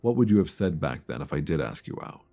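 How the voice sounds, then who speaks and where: A man acting as a lovestruck male friend in an intimate ASMR romance roleplay. His voice is extremely low with a gravelly creak, soft and hesitant, spoken close to the mic over faint music. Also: sing-song, heavily acted. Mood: tender, longing. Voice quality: deep voice. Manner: hesitant, soft, earnest, with sighs and pauses. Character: lovestruck longtime male friend confessing love, tender and nervous. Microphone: close binaural microphone.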